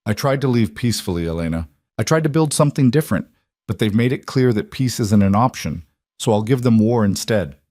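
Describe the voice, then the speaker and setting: A man voicing a cold, determined mafia boss who is protective of his family, with intense, measured delivery in an audiobook. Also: on the low side, animated, a little mellow, dry recording, clean studio sound. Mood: cold, resolute. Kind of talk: audiobook character dialogue.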